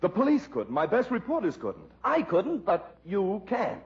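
Man acting high-pitched, flustered newspaper editor. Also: wildly swooping pitch, normal speed, exaggerated voice. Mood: sarcastic. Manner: placating, sarcastic. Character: flustered, sarcastic male newspaper managing editor. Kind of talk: 1940s radio screwball comedy acting. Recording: muffled live stage recording.

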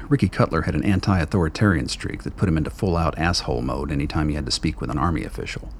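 A man narrating a radio drama in a steady, deliberate storytelling manner. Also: extremely low pitch, vocal fry, a little hiss or hum. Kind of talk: scripted narration.

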